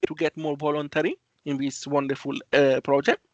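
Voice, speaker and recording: A man's voice: a little high, level tone, normal speed, dry recording, highs cut off. A young man with an Italian accent, a student intern presenting on a video call, speaking hesitantly with many restarts. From Italy. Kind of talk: online presentation. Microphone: video call mic, cutting in and out.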